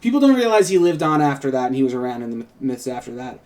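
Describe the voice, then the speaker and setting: A man's voice: mid-range voice, very animated, rapid-fire, darkish tone. A man co-hosting a casual, unscripted talk about a script, rambling and hedging as he explains. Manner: rambling, hedging with frequent "like" and "kind of". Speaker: co-host and writer introducing a script read.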